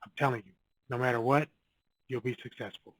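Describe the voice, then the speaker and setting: A man's voice: medium pitch, steady tone, brisk, a bit bright, dry recording, low-quality recording. Man giving plain real estate advice. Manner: direct, coaching, repeats key points. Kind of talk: casual advice video to camera.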